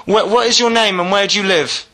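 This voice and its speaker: A man's voice: high voice, a little fast, heavy background noise. A man arguing on a phone call, insistent and exasperated, often cutting in. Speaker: caller trying to get back a lost phone. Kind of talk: argumentative phone conversation.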